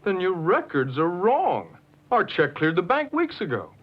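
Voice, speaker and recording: A calm office manager speaks in a measured, polite but firm way, his voice a bit high and lively. Muffled old film track. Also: heavily acted.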